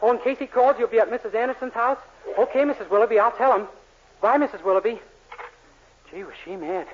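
An actor playing a naive, earnest, innocent young store clerk in a 1940s radio sitcom. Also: male voice, very high voice, fast. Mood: earnest, bewildered. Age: young adult. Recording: muffled, noisy 1940s radio recording.

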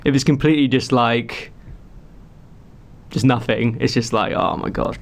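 A man's voice: medium pitch, steady tone, normal speed. A young man, a singer-songwriter, talking casually and rambling through stories with lots of fillers. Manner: rambling, filler-heavy storytelling. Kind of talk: casual chat.

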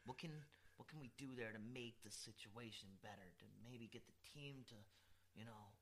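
A man with a hoarse voice, co-hosting a podcast in casual, rambling, profane chat. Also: medium pitch, brisk, fairly bright tone. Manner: rambling, profane, self-mocking. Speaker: podcast co-host.

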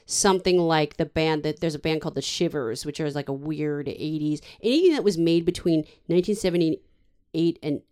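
A woman's voice: deep voice, moderate pace, dark tone, clear, resonant tone. A woman hosting a podcast, chatting casually with a guest, rambling and laughing often. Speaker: podcast host. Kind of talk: casual interview chat.

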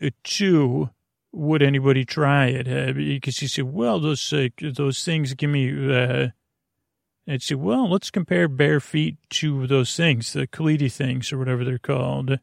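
Very creaky, halting man, dry close recording. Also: mid-range voice, dry recording. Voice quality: creaky, gravelly. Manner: halting, digressive, constantly restarting mid-thought. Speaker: host of a bedtime sleep podcast. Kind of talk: rambling, meandering bedtime monologue. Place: small closet at home.

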